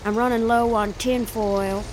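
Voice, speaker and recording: An actress playing an eccentric, slangy American pawnshop medium in a theatrical period audio drama, chatty and brash. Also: female voice, a little high, fairly even intonation, unhurried, noisy background, close to an everyday voice. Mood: wistful, chatty.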